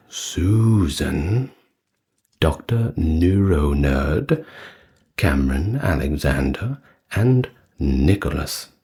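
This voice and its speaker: A man hosting and narrating in an ASMR storytelling style, with a measured delivery. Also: extremely low pitch, darkish tone. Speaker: host, narrator of a history and mythology channel.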